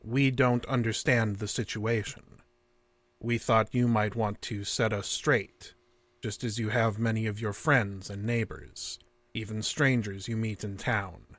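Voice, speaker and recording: An alien interrogator, male, lowish voice, dry close sound, super formal and clinical, never rattled. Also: steady tone, a little slow, a bit bright, no room sound. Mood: probing, calm. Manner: formal, repetitive, clinical phrasing. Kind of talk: audio drama acting.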